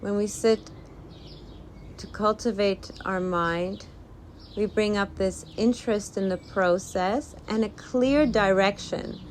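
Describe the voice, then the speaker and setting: A woman leading an informal guided meditation talk, speaking gently in halting phrases with pauses, as a teacher. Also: medium pitch, slight background noise. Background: birdsong.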